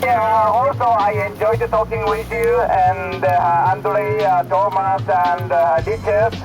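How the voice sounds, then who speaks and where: An older Japanese-accented man speaks slowly in a high-pitched voice, pausing to find his English. He is a radio amateur in conversation over the air, with music clearly behind him. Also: steady tone, low-quality recording. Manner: halting, searching for English words.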